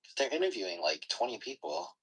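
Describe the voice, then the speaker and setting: Man, young adult, lively pitch, slightly raspy, relaxed teasing chat. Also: fast talker, poor audio. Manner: relaxed, laughs often, teasing. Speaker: livestream co-host. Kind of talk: casual chat with partner.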